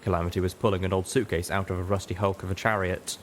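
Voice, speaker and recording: Guy reading a first person story, lowish voice, slight noise behind him. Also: level tone, fast talker. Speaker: audiobook reader.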